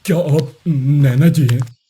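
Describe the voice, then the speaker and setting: A man's voice: on the high side, slow pace, warm tone. A man voicing a courteous royal soldier in a cartoon story, formal and respectful. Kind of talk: cartoon moral story voice acting.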